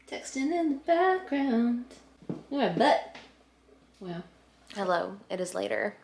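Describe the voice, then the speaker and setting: A woman around her thirties chatting casually to camera in a playful, expressive way, stretching words for emphasis. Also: fairly high pitch, unhurried, creaky, slight background noise. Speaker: vlogger. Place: apartment living room. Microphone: camera at arm's length.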